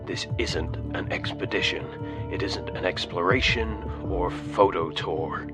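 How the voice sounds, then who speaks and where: A solemn colony leader recording a log in a science fiction audio drama. His voice sits low, with grave, measured, clipped delivery. Music plays clearly underneath. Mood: solemn, resolute. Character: solemn male colony leader logging a search.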